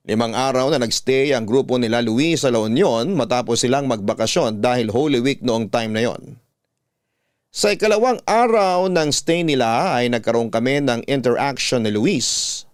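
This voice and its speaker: Man, radio storyteller, scripted narration, mid-pitched, very dry studio-like sound. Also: dry recording. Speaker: radio storyteller reading listeners' letters.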